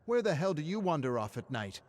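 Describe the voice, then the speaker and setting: A man voicing a tough, furious woman music producer in a dramatic story, sharp and confrontational. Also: on the high side, very animated, fairly quick, voice pushed up.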